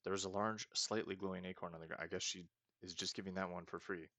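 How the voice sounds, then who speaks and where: A man hosting a casual let's play, reading game text aloud and thinking out loud as he goes. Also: low voice, fairly even intonation, fast, dry recording. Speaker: gaming host.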